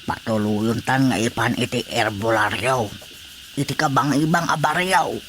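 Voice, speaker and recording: An actor playing a stubborn village uncle who prefers the folk healer, in a radio drama. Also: male voice, mid-range voice, normal speed, a bit bright, close to an everyday voice. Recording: radio drama with faint noise.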